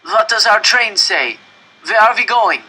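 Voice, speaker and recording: Desperate male prisoner, played by a male narrator, raised high voice, urgent forceful calls, storybook drama. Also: lively intonation, a little slow, breathy edge, exaggerated voice, poor audio. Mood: urgent calling. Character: desperate male prisoner shouting between trains. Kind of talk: audiobook character voice.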